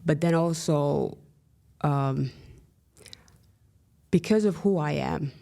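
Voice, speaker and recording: A woman's voice: low-pitched, slow, gravelly, dark tone. A woman in her thirties, born in Somalia with Somali as her first language, talking casually as a podcast guest, wry and full of "like, you know.". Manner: frequent "like, you know" fillers, wry humor. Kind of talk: casual conversation.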